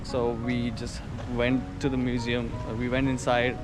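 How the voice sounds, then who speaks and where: A man's voice: medium pitch, completely flat delivery, moderate pace. A man with an Indian accent hosting a casual travel vlog, upbeat and chatty with fillers, talking while walking outdoors. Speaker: vlogger host. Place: outdoors at a botanical garden. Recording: dull lo-fi sound with loud music.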